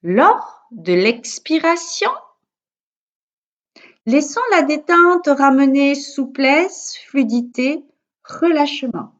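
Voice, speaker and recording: A woman with a fairly high voice leads a guided yoga nidra very slowly. Her delivery is calm and measured, with soothing pauses between instructions. The sound is a little dull and lo-fi. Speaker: yoga teacher.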